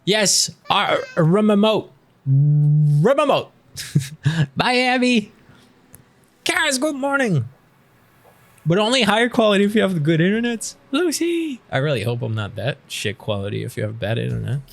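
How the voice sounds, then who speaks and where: A man's voice: high voice, very animated, a little slow, gravelly. A man chatting casually with his live-stream viewers before starting a game, reading their messages aloud and answering them.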